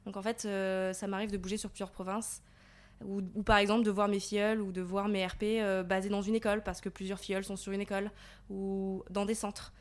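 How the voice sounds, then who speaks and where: A woman's voice: mid-range voice, flat delivery, fairly quick. A woman in her twenties from the Paris region, answering interview questions in a thoughtful, explanatory way with frequent fillers. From Paris region, France. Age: 20s. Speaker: guest, volunteer.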